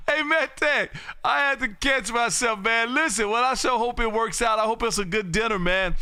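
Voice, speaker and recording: A man with a very high, piercingly bright voice. He talks loudly and folksily as he works the amateur radio, calling and chatting with exuberance. The sound is clear and dry. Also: measured pace, no echo. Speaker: amateur radio operator hosting a live stream. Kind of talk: casual radio chat and calling. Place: home radio room.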